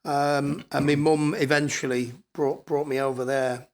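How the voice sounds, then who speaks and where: A man's voice: a little high, level tone, a little slow. A man in his fifties with a northern English accent, reminiscing candidly and casually as a podcast guest, in colloquial storytelling style. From born in Scotland, grew up in Blackpool, northern England. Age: 50s.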